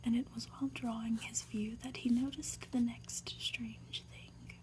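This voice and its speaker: A woman softly reading a story aloud in an ASMR style, in a gentle, measured manner. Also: on the high side, flat delivery. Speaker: ASMR reader.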